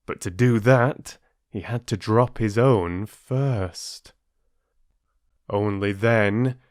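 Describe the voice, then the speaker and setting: A man working as a storyteller and host, speaking in an expressive, deliberate audiobook style. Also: medium pitch, dry recording, clean studio sound. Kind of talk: expressive audiobook narration and listener address.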